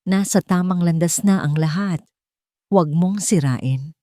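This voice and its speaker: A woman voicing a scheming daughter-in-law villainess, smooth-talking and firm, in a narrated love drama. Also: on the low side, measured pace, no echo, studio quality, lightly acted. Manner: hushed, emphatic.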